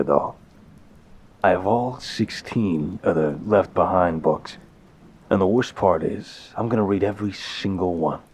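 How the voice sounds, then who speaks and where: A man playing an apologetic, smitten mafia boss in an intimate audio roleplay, tender and wryly self-deprecating, with a husky voice. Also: deep voice, a little mellow, slight background noise. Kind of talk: intimate ASMR roleplay, conversational voicemail monologue.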